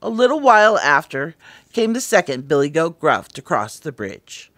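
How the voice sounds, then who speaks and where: Woman, children's story narrator, expressive, animated intonation, dry recording. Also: deep voice, moderate pace, no room sound. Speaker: library story-time narrator. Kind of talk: scripted children's fairy tale narration.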